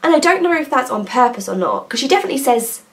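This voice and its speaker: A woman's voice: high-pitched, sing-song, fast talker. A woman, chatting casually and enthusiastically to camera with lots of hesitations and asides. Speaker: nail polish vlogger.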